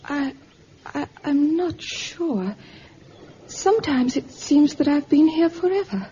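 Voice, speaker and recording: A woman's voice: high voice, animated, slow, mellow. Wistful teenage island girl, muffled radio. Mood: evasive, hesitant. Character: wistful seventeen-year-old girl living alone on island. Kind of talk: vintage radio drama acting. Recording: dull, hissy vintage radio recording.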